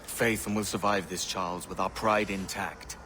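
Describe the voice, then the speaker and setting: An actor playing a shrewd, steady pirate leader in a video game, talking plainly and decisively in colloquial speech. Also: male voice, deep voice, normal speed, lightly acted. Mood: steady, plotting. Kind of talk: video game character acting. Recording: noisy outdoor ambience behind voice.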